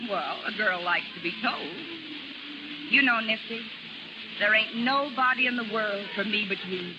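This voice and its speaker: A woman's voice: mid-range voice, moderate pace, lightly acted. Clingy, lovesick carnival dancer played by a woman, muffled. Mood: adoring. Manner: pleading, slangy sweet talk. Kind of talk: 1930s radio drama acting. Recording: muffled vintage radio recording with music.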